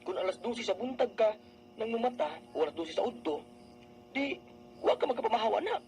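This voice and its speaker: A man's voice: extremely high pitch, big pitch swings, a little fast, darkish tone, soft background music, full character voice. A man playing a blunt, teasing older brother figure with a Filipino Bisaya accent in a radio drama. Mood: chiding.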